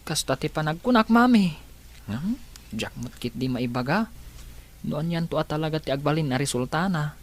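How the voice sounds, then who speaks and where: A man's voice: fairly high pitch, lively intonation, moderate pace, natural voice. A man with a Filipino accent playing a kind, concerned young son in a radio drama.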